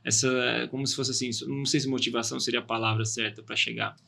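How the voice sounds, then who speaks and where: A man's voice: mid-range voice, little pitch movement, fast talker, creaky, pushed and bright, a little hiss or hum. A man hosting a podcast, asking questions in an upbeat, friendly, casual way. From São Caetano, Brazil. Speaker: podcast host. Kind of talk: casual interview chat.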